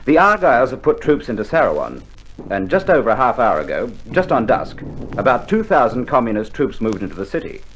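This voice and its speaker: An actor playing a seasoned, unflappable Australian sergeant major, laconic and droll, in an old radio war play. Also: male voice, mid-range voice, wildly swooping pitch, fairly quick, fairly bright tone, highs cut off. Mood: dry. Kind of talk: 1960s military radio play acting. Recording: slightly noisy old vinyl recording.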